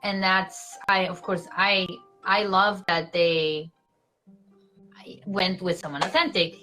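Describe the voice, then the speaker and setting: A woman's voice: on the low side, slow pace, faint music behind, rough recording. A young woman from Switzerland with a Swiss accent, an actress chatting casually as a guest on an interview show, full of "like" and "you know". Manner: animated, fillers like "like" and "you know".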